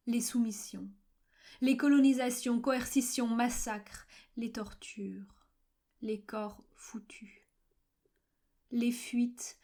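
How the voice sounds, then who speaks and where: Woman, slightly high pitch, very slow pace, literary poem recitation, measured pauses, occasionally breathy near-whisper. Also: a little mellow. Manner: measured, fragmented phrasing with frequent pauses. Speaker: poetry reader.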